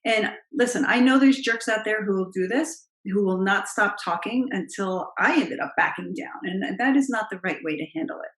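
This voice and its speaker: A middle-aged woman with a naturally low voice speaks in an emphatic, expressive coaching manner, addressing the camera directly. Her pitch is moderate for a woman. The room around her is noticeably echoey. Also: moderate pace, hardly any pauses. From Italian heritage. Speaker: confidence coach. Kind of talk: direct-to-camera advice vlog. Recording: roomy, echoey room sound.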